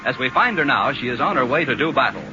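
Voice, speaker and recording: A man's voice: medium pitch, expressive, fast talker, background noise, lightly acted. A man playing a mock-heroic announcer, narrating an old radio comedy. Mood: mock-grand. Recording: muffled old radio recording. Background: music.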